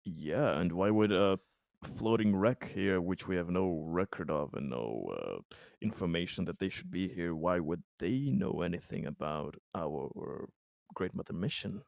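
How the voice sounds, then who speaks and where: A man with a deep voice talks as a player in a tabletop roleplaying game. His in-character discussion is questioning and deliberate, with halting phrasing and a very dry sound. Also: animated, creaky, darkish tone, dry recording.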